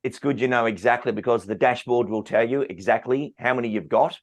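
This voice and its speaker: A man's voice: mid-range voice, a little fast. A man hosting a business interview as a sales trainer, upbeat and polished.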